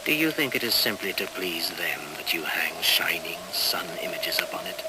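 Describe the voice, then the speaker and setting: An actor playing a solemn shaman in a 1970s radio play, speaking in a grave, measured, incantatory manner. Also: deep voice. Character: solemn shaman leading a solstice rite. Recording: noisy old 1970s radio play recording.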